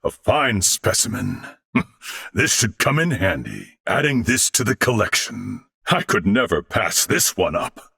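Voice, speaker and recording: A man voicing a courteous older alien warrior-scholar in a video game, speaking formally and eloquently with measured diction. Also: lowish voice, sing-song, creaky, bright, forceful tone, no echo, studio quality, heavily acted. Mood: pleased. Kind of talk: video game character voice lines.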